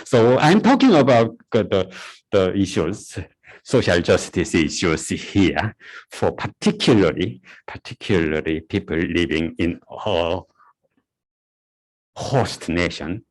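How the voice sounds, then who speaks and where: A middle-aged man from South Korea with a Korean accent, a native Korean speaker giving a conference lecture in a halting way, often repeating words and restarting. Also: sits low, big pitch swings, low-quality recording.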